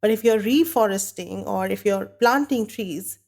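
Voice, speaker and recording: A woman hosting a podcast while she has a bad cold, sounding nasal and croaky, talking earnestly and candidly on her own. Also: mid-range voice, measured pace, darkish tone. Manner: earnest, candid, talks with a light laugh now and then. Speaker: podcast host. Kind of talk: solo podcast monologue.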